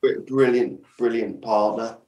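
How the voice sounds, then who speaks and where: Male interview guest, flat delivery, recorded in a roomy space off the mic. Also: medium pitch, unhurried, highs cut off. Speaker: podcast guest, audio drama producer. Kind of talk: casual interview chat. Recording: echoey room, distant from mic.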